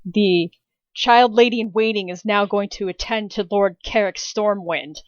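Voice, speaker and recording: A woman's voice: medium pitch, unhurried, no room sound, dull audio. A woman playing a tabletop game, casually improvising narration of what her character does.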